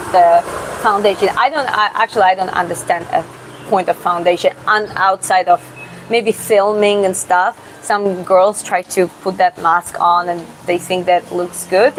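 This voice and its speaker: A woman's voice: lowish voice, slight background noise, low-quality recording. A young woman from Georgia with a Georgian accent, answering viewer questions in a casual, hesitant way and often restarting her words. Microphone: phone or camera close up.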